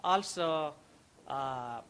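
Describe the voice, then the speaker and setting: Man, halting thank-yous. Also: fairly high pitch, lively intonation, fairly bright tone, smooth. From Nepal. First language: Nepali. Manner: halting, many "uh" fillers. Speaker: graduate giving thanks. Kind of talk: short ceremony thank-you speech. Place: graduation ceremony hall.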